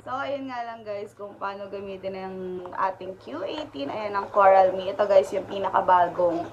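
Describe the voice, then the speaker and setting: A woman's voice: medium pitch, normal speed, dull audio, a crowd in the background. A Filipino woman giving a casual hands-on product tutorial in Taglish, talking informally and directly to her viewers.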